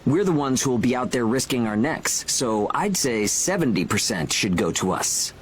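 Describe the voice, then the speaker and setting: A man's voice: medium pitch, animated, moderate pace, slight background noise, natural voice, rough recording. An actor playing a cocky, pragmatic young male mercenary in a video game, talking in a casual, blunt, self-assured way. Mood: bargaining. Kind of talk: video game cutscene acting. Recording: game music behind the voice.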